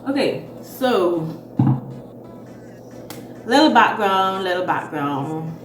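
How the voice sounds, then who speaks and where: A woman's voice: fairly low pitch, slow, distant mic, faint music behind. Chatty Jamaican woman in an echoey room. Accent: Jamaican with Bahamian mix. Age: 30s. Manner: chatty, expressive, repeats words for emphasis. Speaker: vlogger. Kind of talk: casual storytime chat.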